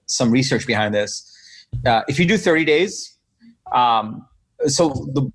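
A man with a New York accent speaks as a podcast guest, energetic and emphatic. He often acts out other people's reactions as he makes his point. His voice sits in a middle register, recorded close and dry. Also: medium pitch, husky, dry recording. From Bangladesh. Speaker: guest entrepreneur. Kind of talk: conversational podcast interview.

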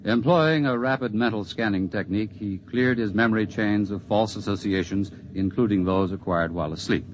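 Deep, dramatic 1950s radio narrator, male. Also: fairly quick, hardly any pauses, lo-fi sound, background noise. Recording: vintage radio, band-limited, music behind.

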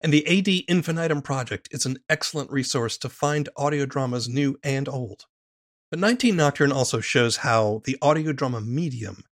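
A man hosting a podcast, reading scripted narration and putting questions to a guest. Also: medium pitch. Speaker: podcast host.

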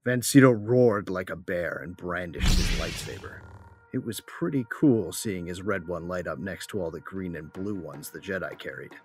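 Cocky low-voiced young space soldier. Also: male voice, moderate pace. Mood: cocky, excited. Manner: irreverent, colloquial. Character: cocky battle-loving soldier son narrating a space battle. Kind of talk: first-person dramatic narration. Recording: music behind the voice.

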